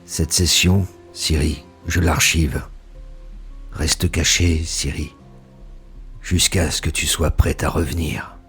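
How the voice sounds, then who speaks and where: An actor playing a solitary, brooding detective who archives AI voices, speaking in a grave, measured, introspective tone. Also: male voice, a bass voice, fairly even intonation, fairly bright tone, faint music behind, exaggerated voice. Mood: solemn. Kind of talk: immersive sci-fi audio drama.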